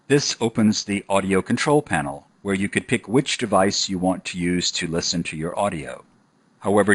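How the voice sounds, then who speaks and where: A man with a fairly low, husky voice delivers scripted instructional narration. The sound is dry and close, as in a software tutorial. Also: no echo.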